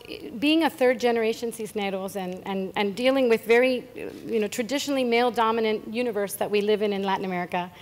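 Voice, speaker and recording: A woman moderating an onstage interview, hesitant and repeating words as she frames her questions. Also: medium pitch. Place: conference stage before a seated audience. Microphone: handheld mic. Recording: reverberant conference hall.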